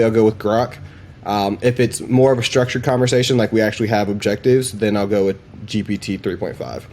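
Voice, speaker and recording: A man presents a demo in a casual, off-the-cuff way. His talk is informal and slangy, with an enthusiastic manner and an everyday mid-pitched voice. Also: darkish tone, slight background noise. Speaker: presenter demonstrating AI voice assistants.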